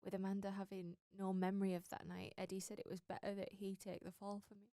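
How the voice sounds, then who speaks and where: Firm, earnest British sister weighed down by guilt, played by an actress; medium register, dry close sound, drama role. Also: female voice, mid-range voice, flat delivery, fast, dark tone, dry recording, natural voice. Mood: guilty, confessing. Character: protective, guilt-ridden sister defending her brother. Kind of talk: British audio drama acting.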